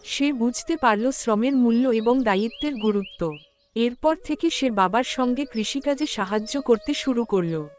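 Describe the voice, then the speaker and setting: A woman's voice: a little high, normal speed, a little mellow, slight background noise. A woman storyteller reading a scripted moral tale for a cartoon.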